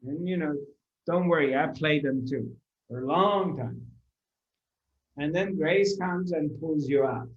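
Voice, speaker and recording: A man delivering a spiritual talk to a seated audience, speaking very slowly with a slightly raised pitch. He lingers on his phrases, repeats them and keeps posing rhetorical questions. The recording is roomy and a little dull, with the echo of the room around him. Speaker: spiritual teacher. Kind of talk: spiritual discourse to a live audience.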